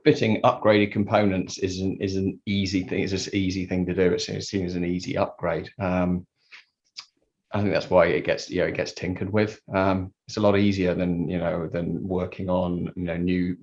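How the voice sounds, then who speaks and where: A man giving a conversational technical explanation as an interview guest, halting and repeating words as he thinks. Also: deep voice, level tone, a little mellow. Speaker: interview guest, loudspeaker designer. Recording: dull lo-fi sound.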